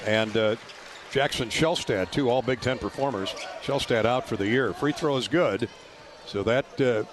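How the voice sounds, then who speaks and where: A man doing live radio play-by-play of a basketball game in a folksy, colorful way. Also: on the high side. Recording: loud arena noise behind courtside radio call. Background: ball bounces and gym ambience.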